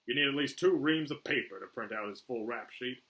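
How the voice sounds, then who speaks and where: A man's voice: mid-range voice, a little fast, fairly bright tone, lightly acted. A man voicing a stern, commanding military officer in a story. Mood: dry, briefing.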